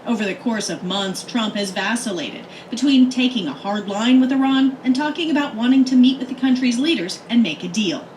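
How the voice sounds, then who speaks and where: Female radio reporter, slightly high voice, scripted news delivery, faint noise around the broadcast. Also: expressive, fairly quick, hardly any pauses, a little mellow, poor audio.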